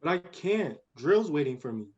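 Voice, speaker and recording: A man's voice: on the high side, sing-song, normal speed. A young man playing an eager, bossy, imaginative little girl in a radio play, with earnest impatience. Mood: earnest, restless. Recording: dull lo-fi audio.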